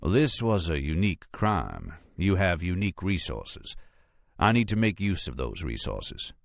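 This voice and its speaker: A man's voice: low-pitched, sing-song, measured pace, creaky, no echo, full character voice. A man voicing a courteous, self-assured FBI agent in an audiobook, with a creamy drawl and formal, precise, drily witty delivery. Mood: smooth, probing. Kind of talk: audiobook character dialogue.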